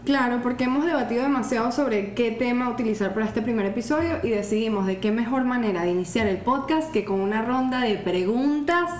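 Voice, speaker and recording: A woman in her twenties with a Venezuelan accent, co-hosting a casual podcast chat in a calm, measured way. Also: a little high. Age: 20s. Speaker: podcast co-host. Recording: echoey room with faint noise.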